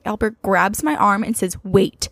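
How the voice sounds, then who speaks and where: Creaky-voiced woman co-hosting a podcast. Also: medium pitch, measured pace, dry recording. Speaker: podcast co-host. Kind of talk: casual chat and reading listener emails aloud.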